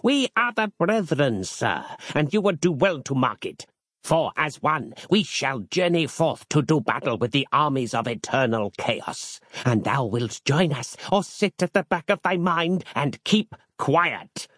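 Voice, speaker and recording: A man's voice: fairly high pitch, sing-song, measured pace, a bit bright, no echo, studio quality, voice pushed up, exaggerated voice. A man voicing a stern, pious seventeenth-century ancestor spirit in a storybook, with an archaic, commanding, sermonizing manner. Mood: zealous, stern.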